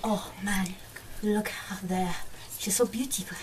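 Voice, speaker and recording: A woman voicing a lovestruck, possessive male frog in a puppet show, with breathy, swooning vows. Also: mid-range voice, steady tone, measured pace, pitched below the performer's own voice, lightly acted. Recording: noisy, distant mic with clicks.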